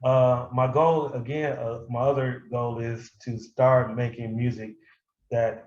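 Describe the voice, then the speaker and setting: Halting American man in his forties, casual. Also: medium pitch, little pitch movement, a little mellow, roomy sound, lo-fi sound. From United States. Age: 40s. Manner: halting, piecing thoughts together in short phrases. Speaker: podcast guest, hip hop artist. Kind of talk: casual interview chat.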